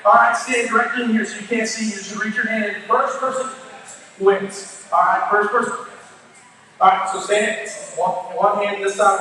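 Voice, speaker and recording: A man's voice: high-pitched, a little hiss or hum. A man working as a youth pastor, hosting games from a stage in an informal, commanding way, his voice a little strained. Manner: informal, commanding, shouts directions to the crowd. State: voice straining, starting to give out. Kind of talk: live stage hosting, hyping games for students. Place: large indoor church room with stage and PA. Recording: very echoey hall, distant mic, faint music.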